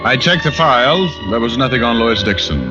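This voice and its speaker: An actor playing a cocky, wisecracking private detective, bantering and narrating in a glib, sardonic way in an old radio drama. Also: male voice, mid-range voice, animated, fairly quick, close to an everyday voice. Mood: wry. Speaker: lead and narrator. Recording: dull old radio recording with music.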